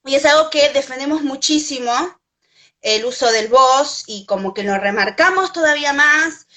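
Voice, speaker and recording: A woman's voice: high-pitched, moderate pace, a bit bright. A woman from Argentina with an Argentine Spanish accent, a Spanish teacher talking casually and thinking aloud with many fillers. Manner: thoughtful, many fillers and restarts. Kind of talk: casual conversation.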